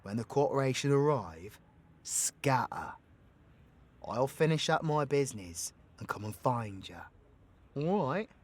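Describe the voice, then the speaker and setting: A man's voice: mid-range voice, sing-song, a little slow. A British man playing a cocky, foul-mouthed but protective supervillain in an audio roleplay, with brash, teasing, slangy delivery. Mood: firm, protective. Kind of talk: audio roleplay acting addressed to the listener.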